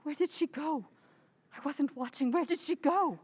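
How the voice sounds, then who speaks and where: A woman's voice: high-pitched, moderate pace, darkish tone, close to an everyday voice. A woman playing a haughty, domineering, indignant wife who scolds in an old-time radio drama. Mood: alarmed.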